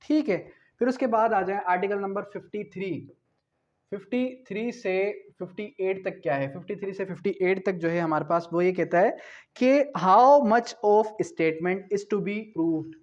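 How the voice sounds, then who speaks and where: High-pitched Pakistani man lecturing, dull audio. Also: a little slow, a little mellow. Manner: didactic, asks questions and answers them himself; switches between Urdu and English terms. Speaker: law lecturer. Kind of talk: explanatory exam lecture.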